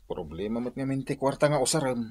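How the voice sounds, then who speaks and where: A man playing a comic village husband, grumbling and boastful, with a husky voice and a Northern Luzon Filipino accent in a radio comedy drama. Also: medium pitch, lively intonation, fast talker, background noise, close to an everyday voice.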